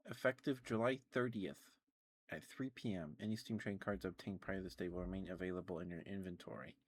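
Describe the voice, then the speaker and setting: Male streamer, lowish voice, soft rambling ASMR delivery, close mic. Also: a little fast, slightly rough. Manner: soft rambling, talks to chat.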